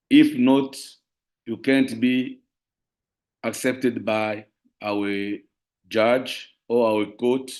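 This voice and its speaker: A man with a Congolese French accent delivers a formal talk. His pace is very slow and deliberate, at a middling pitch. His English is careful and halting, that of a French speaker presenting in a second language. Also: a little mellow. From Democratic Republic of the Congo. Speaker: lawyer and university teacher, guest speaker.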